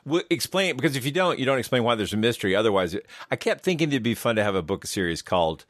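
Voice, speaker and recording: A man's voice: mid-range voice, very animated, quick pace, fairly bright tone, dry recording, clean studio sound. A man co-hosting a podcast in friendly, chatty casual talk. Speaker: podcast co-host. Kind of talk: casual chat.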